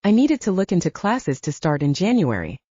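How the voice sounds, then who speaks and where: Female storyteller, lower-pitched, soft-edged tone, scripted fiction narration, dry slightly muffled recording. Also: big pitch swings, fairly quick, slightly rough, no echo. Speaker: audiobook narrator.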